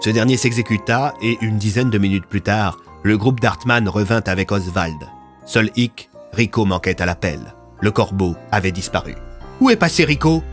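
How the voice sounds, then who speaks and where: Guy narrating an audio story, kinda low voice, dramatic, loud music behind. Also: steady tone, normal speed. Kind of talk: scripted audio fiction narration.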